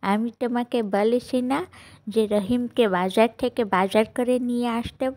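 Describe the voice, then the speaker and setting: A woman's voice: fairly high pitch, steady tone, moderate pace, a little mellow, close to an everyday voice. A woman playing a stern older village mother in a cartoon, questioning and scolding. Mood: questioning, displeased. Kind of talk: cartoon voice acting.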